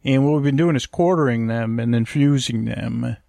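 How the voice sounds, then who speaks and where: A man with a gravelly voice, rambling as a podcast host in a hesitant, stammering, meandering monologue meant for falling asleep to. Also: mid-range voice, moderate pace, warm tone, no echo.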